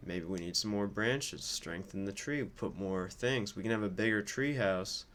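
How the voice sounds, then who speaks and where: A man giving informal, off-the-cuff political commentary in a casual, musing way, like a streamer thinking out loud. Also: on the low side, moderate pace.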